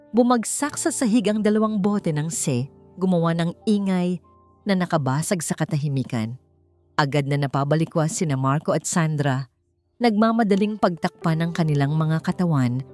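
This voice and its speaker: A woman's voice: fairly low pitch, darkish tone. A woman reading a romance story as dramatic scripted narration, with music playing behind her. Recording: music bed under the voice.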